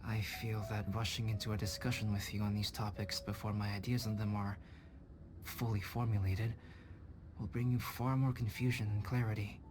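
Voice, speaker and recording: A man voicing a calm, scholarly young alchemist in a video game, measured, formal and polite. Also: fairly low pitch, background noise, lightly acted. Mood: thoughtful, sincere. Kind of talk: video game cutscene voice acting. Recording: game music behind voice.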